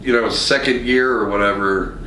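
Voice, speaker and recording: A man's voice: lowish voice, steady tone, moderate pace, pushed and bright. A man hosting a casual podcast chat, talking in an enthusiastic, informal, agreeable and rambling way. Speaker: podcast host. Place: echoey podcast studio. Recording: roomy, distant mic with faint noise.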